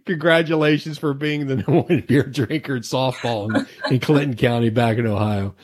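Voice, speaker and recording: A man's voice: medium pitch, moderate pace, slight background noise. An American man hosting a casual interview in a chatty, folksy way, rambling into asides. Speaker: interview host.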